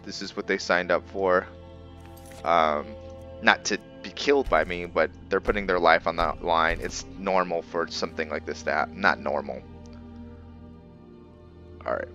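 A man's voice: low voice, normal speed, long pauses, bright, forceful tone, dull audio. A man giving casual let's-play commentary as a gaming host, musing aloud as he thinks through his decisions. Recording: game music behind the voice. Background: video game music.

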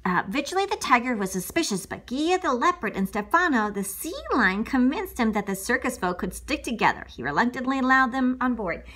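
A woman tells a story to children as a read-along. Her voice is fairly high and very bright, and her delivery is playful and full of exclamation, with ad-libbed comments. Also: very animated, fairly quick, hardly any pauses.

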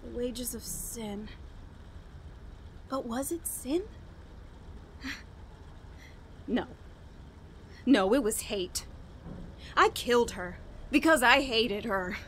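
A woman playing a despairing, bitter young murderess, halting and brooding, in an old-style radio horror drama. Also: a little high, expressive, fairly bright tone, slight background noise, exaggerated voice. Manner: halting, brooding, with anguished emphasis. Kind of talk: melodramatic 1940s-style radio horror acting.

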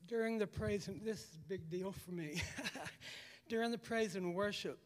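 A raspy-voiced man giving a halting personal testimony to a church congregation, repeating words as he goes. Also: high-pitched. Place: church hall. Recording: long church hall reverb.

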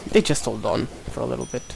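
A man's voice: mid-range voice, big pitch swings, moderate pace, creaky, a little hiss or hum, low-quality recording. A young man from Portugal with a Portuguese accent, casually commenting on a game while he plays, mumbling to himself. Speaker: gameplay commentator.

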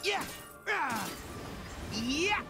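A man's voice: extremely high pitch, very animated, drawn-out words, vocal fry, music in the background, full character voice. An actor voicing a young male video game hero who calls out short battle cries and commands in combat. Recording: game audio captured in a stream.

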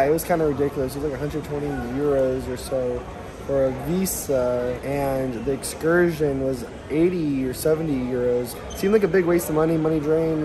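A young man filming a casual travel vlog, relaxed and chatty, saying "like" often and laughing easily. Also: medium pitch, poor audio. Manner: laid-back, says "like" a lot, laughs easily. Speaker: travel vlogger. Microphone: handheld camera selfie.

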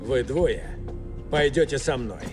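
A man's voice: mid-range voice, lively intonation, slow pace. An actor voicing a middle-aged corrupt policeman, threatening and condescending, in a dubbed video game. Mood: smug menace. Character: menacing, corrupt male police officer. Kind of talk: dramatic video game dub acting. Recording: music under the dialogue.

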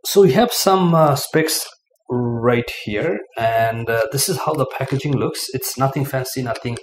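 A man's voice: medium pitch, faint music behind. Guy doing an unboxing in his living room, lots of uh and you know, roomy sound. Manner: halting, many fillers like "uh" and "you know". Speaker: product reviewer. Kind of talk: casual unboxing review. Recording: echoey room, faint room noise.

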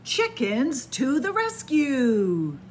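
Warm-up librarian type, middle-aged woman, bright higher voice, playful story reading in a library. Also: huge pitch swings, extremely slow, a little hiss or hum. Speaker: children's librarian. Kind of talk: picture book read-aloud. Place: library, in front of bookshelves. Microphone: camera at close range.

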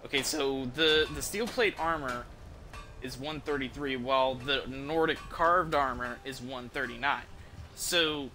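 A man's voice: medium pitch, unhurried, sharp tone. A young man giving casual, profane video game commentary while chatting with his stream viewers. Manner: profane, informal slangy talk. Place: indoor room, streaming from a desk. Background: video game music.

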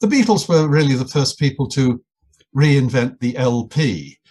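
An older Englishman with a husky, slightly breathy voice at an average pitch. He tells wry, self-deprecating anecdotes in an unhurried interview, often looping back and restarting his phrases. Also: expressive, a little mellow. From England. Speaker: guest, anthropologist and writer. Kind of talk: relaxed storytelling interview.

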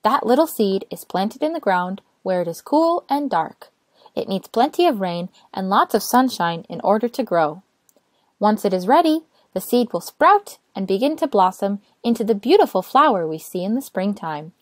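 A woman narrating a children's storybook aloud in an expressive, careful way. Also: mid-range voice, normal speed, no echo. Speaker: storytime reader.

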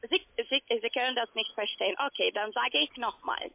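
Female telesales agent, non-native and foreign-accented, broken grammar, creaky voice on a narrow, noisy phone line. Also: on the high side, a little fast, no echo. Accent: foreign accent in German. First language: not German. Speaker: call centre sales agent. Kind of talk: phone sales pitch.

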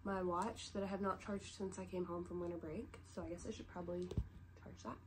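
A woman's voice: on the low side, steady tone, fairly quick. Stuffy young woman chatting. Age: young adult. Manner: chatty, rambling, lots of "like" fillers. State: stuffy head cold. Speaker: vlogger. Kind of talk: casual show-and-tell chat. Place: bedroom, sitting on the bed. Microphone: camera set low in front of her. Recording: noisy home recording.

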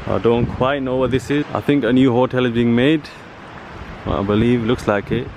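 A man's voice: mid-range voice, fairly even intonation, normal speed, low-quality recording. A young English man casually vlogging on sunny seaside streets, talking into a camera held at arm's length. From England. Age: young adult. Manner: easygoing, chatty, speaks simple English to locals. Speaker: travel vlogger. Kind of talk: casual vlog chat. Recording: loud street and wind noise outdoors.

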